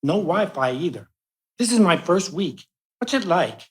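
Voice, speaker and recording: A woman's voice: lowish voice, very animated, unhurried. A woman playing an affable, wry male patient in a live radio play.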